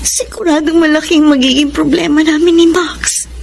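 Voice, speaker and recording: A woman's voice: high-pitched, fairly even intonation, moderate pace, vocal fry, a little hiss or hum. An actress playing a distressed, pregnant young woman in a Filipino radio drama, tearful and pleading. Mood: anguished, torn.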